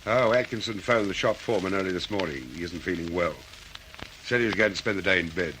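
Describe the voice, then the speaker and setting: An actor playing a fretful, harried factory boss in a radio drama. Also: male voice, deep voice, fast, background noise, natural voice. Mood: worried, weary. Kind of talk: classic radio drama acting.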